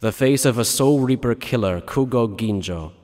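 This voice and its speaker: A man's voice: mid-range voice, moderate pace, a little mellow. A man reading scripted dramatic audiobook narration. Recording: long reverberant tail on voice.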